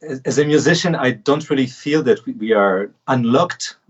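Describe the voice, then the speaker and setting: A man with a Polish accent, guesting on a casual livestream interview from home, speaking haltingly with frequent restarts. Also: mid-range voice, slightly rough, lo-fi sound. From Poland. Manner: halting, many restarts and fillers. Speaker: guest musician.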